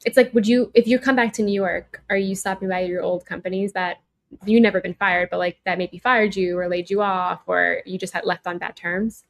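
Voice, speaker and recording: A woman's voice: fairly low pitch, steady tone, fairly quick, creaky. A woman co-hosting a podcast in casual chat, reacting bluntly and informally and posing hypothetical questions. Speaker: podcast co-host.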